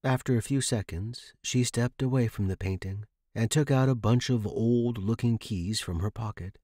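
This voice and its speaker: A man's voice: medium pitch, normal speed, mellow, dry recording, clean studio sound. A man reading scripted first-person fiction as the narrator.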